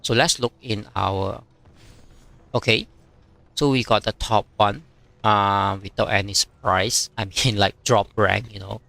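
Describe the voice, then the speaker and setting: A man whose first language is Chinese, streaming a strategy game and chatting casually, with many fillers and pauses as he reads viewer comments. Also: lowish voice, fairly even intonation, vocal fry, pushed and bright, no room sound. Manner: halting, many fillers, reads chat aloud.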